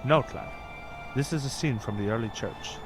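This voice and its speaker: An actor playing a kindly, gently wry young saint who guides and explains, a touch pedantic, in a children's audio drama. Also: male voice, mid-range voice, moderate pace, music in the background, lightly acted. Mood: informative, cheerful.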